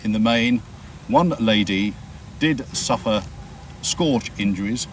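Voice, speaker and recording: A man giving an official statement as a spokesman, formal and halting. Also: lowish voice. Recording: distant mic, faint vehicle noise.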